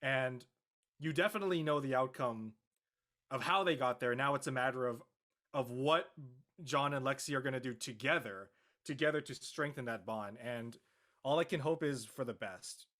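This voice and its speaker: Bright-voiced, earnest man chatting. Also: medium pitch, moderate pace. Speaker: actor guest on a web show panel. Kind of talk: casual chat.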